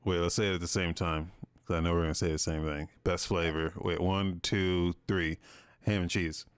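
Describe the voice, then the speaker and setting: A man's voice: sits low, flat delivery, moderate pace, clear, resonant tone, dry recording, studio quality. A young man co-hosting a podcast, bantering in a laid-back way and calling people "dude" and "bro.". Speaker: podcast co-host. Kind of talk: casual chat.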